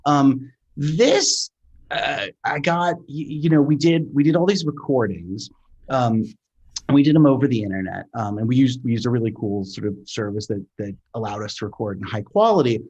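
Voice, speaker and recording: Halting man on dull, lo-fi audio. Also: mid-range voice, a little mellow, slightly rough. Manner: halting, thinking aloud, repeats words. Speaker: sound designer, interview guest. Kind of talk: casual explanatory conversation.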